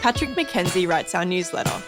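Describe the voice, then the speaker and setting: A woman's voice: lowish voice, expressive, moderate pace. Australian woman hosting radio over music. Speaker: radio show host. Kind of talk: scripted radio outro and credits. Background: music bed.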